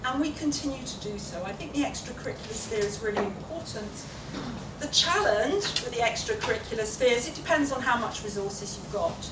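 Woman giving a keynote in an echoey hall. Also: on the high side. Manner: measured, explanatory, with occasional fillers. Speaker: keynote speaker. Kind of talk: conference keynote speech. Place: large lecture room with windows. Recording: echoey room, distant mic, noisy.

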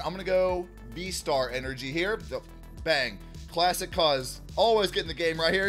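A man's voice: fairly high pitch, fairly bright tone. Hyped man with a fading voice. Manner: upbeat, hyped, talks to viewers as y'all. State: losing his voice. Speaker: card-opening channel host. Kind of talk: excited card unboxing chat. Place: indoor room at a table.

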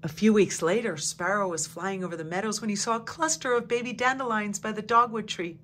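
A woman reading a children's picture book aloud, her voice sitting at a middle pitch. She tells the story calmly and evenly, softening to a near-whisper at quiet moments. A faint hum runs under the recording. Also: a little fast. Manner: calm, even storytelling, hushed at quiet moments. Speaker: storybook reader.

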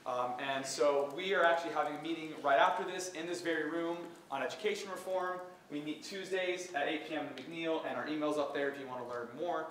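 Loud young man in an echoey hall. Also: fairly high pitch, distant mic, a little hiss or hum. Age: young adult. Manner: quick, casual, loud. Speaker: student club president. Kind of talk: announcement to an audience. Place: large lecture hall.

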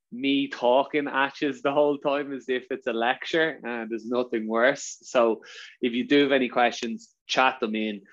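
A man with a strong Irish accent talks quickly and casually, full of ums and restarts. His voice sits at an ordinary pitch, heard through a somewhat muffled video call. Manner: fast-talking, chatty, filler-heavy. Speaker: webinar presenter. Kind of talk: casual webinar chat.

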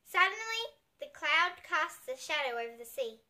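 A woman's voice: sits high, sing-song, normal speed, bright, forceful tone. A young girl reading a picture book aloud expressively, with chatty asides to listeners. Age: child. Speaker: young host reading picture books for kids. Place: small indoor room with bookshelves.

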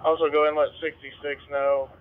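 Fast-talking man on a muffled scanner radio. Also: fairly high pitch, level tone. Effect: two-way radio. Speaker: emergency responder in the field. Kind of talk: terse radio traffic.

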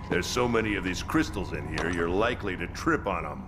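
An actor playing a gruff, jovial veteran admiral in a video game cutscene, hearty and boastful with frequent chuckles. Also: male voice, low-pitched, animated, normal speed. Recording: game cutscene with loud music.

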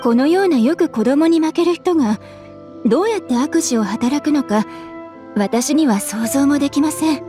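A calm, polite townswoman. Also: high voice, normal speed, faint music behind. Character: polite, composed young townswoman. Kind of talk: video game voice acting.

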